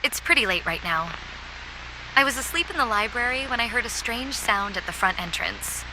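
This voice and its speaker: A woman's voice: on the high side, expressive, moderate pace, noisy background, natural voice. A woman playing a stranded survivor keeping an audio diary, speaking in a wry, reflective way. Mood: uneasy. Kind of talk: analog horror audio diary acting.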